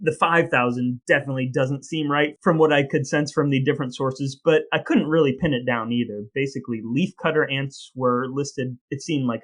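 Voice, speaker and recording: A man with a voice a touch higher than average talks as a podcast co-host. He rambles playfully through bits of research, with a wry edge to his casual delivery. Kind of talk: casual chat about trivia and research.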